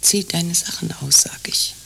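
An actress playing a capricious, commanding woman in radio play dialogue, husky and breathy, with a curt, evasive way of talking. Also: lowish voice, measured pace, sharp tone, in a whisper, heavily acted. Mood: imperious, teasing. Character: capricious, commanding woman in an absurd couple sketch.

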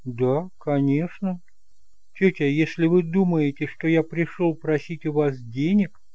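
A man's voice: fairly high pitch, unhurried, warm tone, dry recording, close to an everyday voice. A man playing an earnest nineteen-year-old nephew, shy but proud, with a hesitant, courteous manner. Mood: hesitant, subdued.

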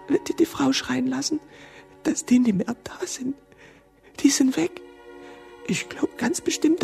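A woman playing a loyal, frightened young friend in a radio drama, intimate, halting and pleading. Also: high-pitched, vocal fry, a little mellow, heavily acted. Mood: anxious, urgent. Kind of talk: German radio drama acting.

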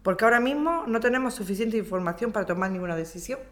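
A woman's voice: medium pitch, lively intonation, fast, close to an everyday voice. A woman with an accent from Spain playing a conciliatory housing lawyer who reasons and appeals in a radio drama. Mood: reasoning. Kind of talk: acted community radio drama.

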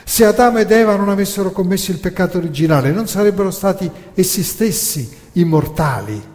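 A man's voice: high-pitched, animated, brisk, darkish tone, voice pushed up. A man voicing a defiant accused philosopher in a stage monologue, arguing emphatically and rhetorically before his judges. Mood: defiant, insistent. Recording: long reverberant hall sound.

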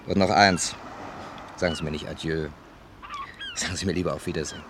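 Crime-story hero, low voice, dry and measured, vintage radio drama, faint noise behind. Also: male voice, steady tone. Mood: resigned, tender. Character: male first-person crime narrator, wry, drawn into trouble. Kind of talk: 1950s-80s German radio crime play acting. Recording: old radio play, faint background noise.

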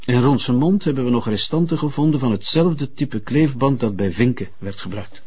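An actor playing a methodical Flemish forensic investigator in a drama, reporting in a formal, measured way. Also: male voice, mid-range voice, a little mellow, natural voice. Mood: matter-of-fact. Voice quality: husky. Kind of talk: drama acting.

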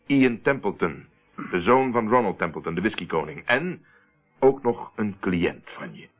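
An actor playing a steady, probing man who confronts a murderer in a radio play, speaking in a deliberate, controlled, accusing way. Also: fairly low pitch. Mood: hard, confident. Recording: tinny, narrow-band old radio recording.

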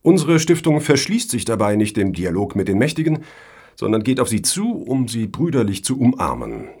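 An actor playing a genial, self-satisfied foundation board member in a satirical radio play, with pompous, flowery phrasing. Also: male voice, mid-range voice, very animated, normal speed, a little mellow, slightly rough.

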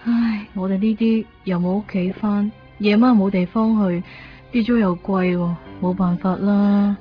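A woman's voice: medium pitch, steady tone, measured pace, natural voice. An actress with a Hong Kong accent playing an innocent, easygoing homeless young woman in a radio drama, casual and unfazed. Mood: unfazed, resigned. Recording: dull 1990s radio drama recording. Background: faint background music.

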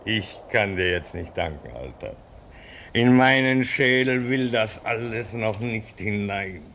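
Earnest comrade; measured, expressive, persuasive; radio play. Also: male voice, lowish voice, slight background noise, heavily acted. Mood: dazed. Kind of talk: radio drama acting.

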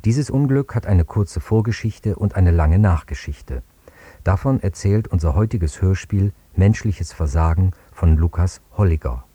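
Very dark, husky male radio presenter. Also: deep voice, animated, no echo. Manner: measured, sober. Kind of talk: scripted introduction to a radio play.

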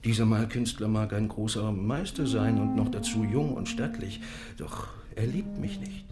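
An actor playing a proud, enigmatic Ottoman miniature painter who tells parables in a measured, deliberate way in a radio drama. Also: on the low side, expressive, natural voice. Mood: earnest, wistful. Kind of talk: literary radio drama storytelling. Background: music.

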